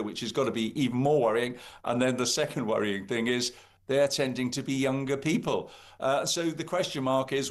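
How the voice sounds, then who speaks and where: A man with a Welsh accent holds forth as a political commentator on a talk radio show. His voice is middle-pitched and his delivery is emphatic. He keeps it going with frequent ums and uhs. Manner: animated, emphatic, frequent "uh" and "um" fillers. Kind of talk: live radio discussion.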